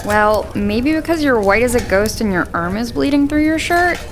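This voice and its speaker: An actress playing a frank, caring but guarded young village woman in a fantasy audio drama, brisk and naturalistic in delivery. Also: fairly high pitch, animated, natural voice. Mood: concerned, brisk.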